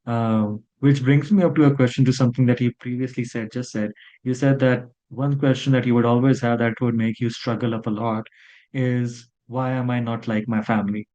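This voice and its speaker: A man's voice: medium pitch, fairly even intonation, normal speed, dark tone, dull audio. A man hosting a podcast, framing his questions carefully and stumbling over words along the way. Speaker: podcast host. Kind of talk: interview questions.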